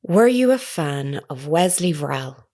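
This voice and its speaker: Formal, lowish-voiced woman reporter. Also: sing-song, a little mellow, exaggerated voice. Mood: probing. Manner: formal, measured, insistent. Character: Hannah, earnest persistent woman reporter. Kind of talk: naturalistic audio drama acting.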